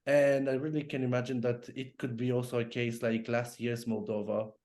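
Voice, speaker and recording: Man speaking haltingly on a panel. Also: medium pitch, flat delivery, a little mellow. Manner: halting, repeats words. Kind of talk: casual panel chat.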